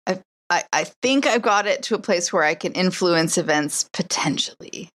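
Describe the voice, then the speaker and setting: A woman's voice: fairly low pitch, lively intonation, moderate pace, fairly bright tone. A woman playing a character in a tabletop roleplaying podcast, talking in character. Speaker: player in an actual-play podcast.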